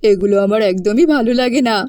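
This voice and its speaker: A woman's voice: mid-range voice, quick pace, dark tone, no room sound, studio quality, voice pushed down, lightly acted. A woman voicing an earnest, pleading college-age younger brother in a cartoon. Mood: exasperated, pleading. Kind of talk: cartoon voice acting.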